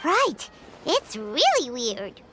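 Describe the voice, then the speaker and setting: Squeaky, tiny, childlike fairy. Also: female voice, wildly swooping pitch, very slow, exaggerated voice. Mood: confident, agreeable. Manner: squeaky, exclamatory. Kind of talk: scripted video game acting. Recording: prominent music under dialogue.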